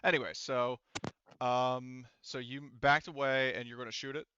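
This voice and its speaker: Bright, clear-voiced man as game master. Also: mid-range voice, fairly even intonation, moderate pace, dry recording, dull audio. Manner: informal, swearing, explanatory. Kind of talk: narrating and refereeing a tabletop roleplaying game, casual.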